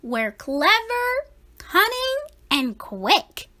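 A big, round lady cat teacher played by a woman reader makes announcements in a high, swooping voice. She is cheerfully authoritative and emphatic, speaking slowly for a storybook audience of pupils. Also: big pitch swings, pushed and bright, heavily acted. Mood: cheerful, proud. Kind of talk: storybook read-aloud character.

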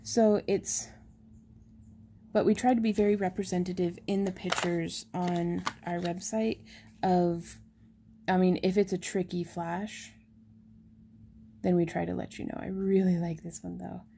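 A chatty woman shows off stones at her craft table, her voice mellow and a little gravelly. She gets excited and drops into soft asides, over a faint hiss. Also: on the low side, a little slow, long pauses. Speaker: shop owner showing handmade stones. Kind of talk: casual live shop update.

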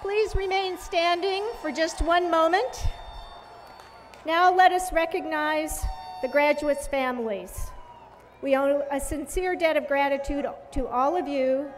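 A woman giving a formal, measured address from a podium at an outdoor commencement. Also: sits high, big-room sound. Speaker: university official speaker. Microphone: podium microphone.

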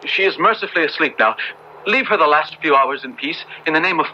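An actor playing an anxious, compassionate parson in a radio drama, speaking earnestly and urgently in formal, old-fashioned English. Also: male voice, on the high side, animated, quick pace, natural voice. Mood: nervous pleading. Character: large, anxious, compassionate male parson helping a fugitive. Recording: muffled, very noisy old radio recording.

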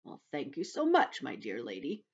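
A woman voicing an old male grasshopper, dignified, scornful and weary, in a slow storybook delivery. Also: a bass voice, huge pitch swings, husky, dull audio, heavily acted. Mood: grateful, sleepy.

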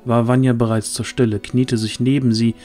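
A man's voice: mid-range voice, little pitch movement, moderate pace, darkish tone, faint music behind. A man reading scripted narration for a fantasy audio drama.

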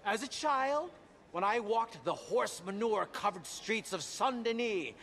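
A man's voice: high voice, animated, unhurried, fairly bright tone, full character voice. An actor playing a pompous, boastful old-time stage showman in a video game, announcing with grandiose, florid oratory. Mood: boastful, grand. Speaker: theater master of ceremonies. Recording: noisy theater with crowd.

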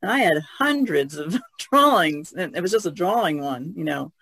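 A man's voice: a little high, lively intonation, moderate pace, creaky, husky. A man chatting casually on a podcast about art, thoughtful and trailing off at times. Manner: thoughtful, trailing off, laughs softly. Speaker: podcast guest artist. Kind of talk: casual discussion.

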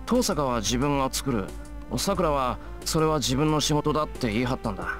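The hesitant, apologetic young hero of an anime visual novel, earnest, flustered and very quick-spoken, acted by a man. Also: medium pitch, lively intonation, fairly bright tone, faint music behind, lightly acted. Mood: defensive.

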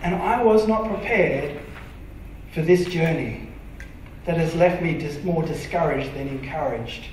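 An Australian man preaching a sermon from a church stage, earnest and emphatic, sometimes dropping to a soft, intimate tone. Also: a little high, slight background noise. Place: large dark church auditorium stage. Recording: very echoey hall from far off.

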